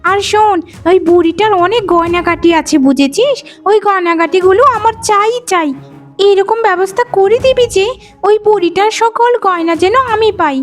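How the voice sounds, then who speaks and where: A woman's voice: very high-pitched, moderate pace. A woman playing a quarrelsome, greedy young wife in a cartoon, complaining and insistent. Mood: anxious, greedy. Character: quarrelsome, greedy young daughter-in-law, whining and resentful. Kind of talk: cartoon moral-story dialogue. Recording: music under the voice.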